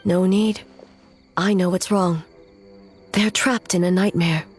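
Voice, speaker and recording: A woman's voice: fairly low pitch, soft background music. Composed, authoritative noblewoman. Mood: calm command. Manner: calm, measured, solemn and formal. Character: composed, authoritative, perceptive woman of high rank. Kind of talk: animated game cutscene acting.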